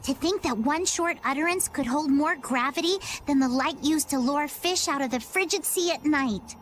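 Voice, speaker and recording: A woman's voice: high voice, moderate pace, faint music behind, natural voice. An actress voicing a whimsical, grandiose young seafaring girl in a video game, with quaint theatrical phrasing. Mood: curious, grandiose. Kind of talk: animated game voice acting.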